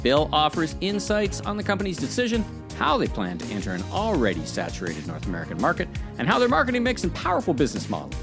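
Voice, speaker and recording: A man's voice: fairly high pitch, wildly swooping pitch, fast talker, few pauses, music in the background. A man hosting a podcast, moving between scripted introduction and conversational interview questions. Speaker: podcast host.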